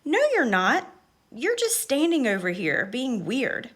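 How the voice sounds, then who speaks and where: Woman as a bossy, high-pitched playground girl. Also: very animated, a little slow. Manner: barking, pushy, impatient. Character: bossy, blunt freckled young girl on playground.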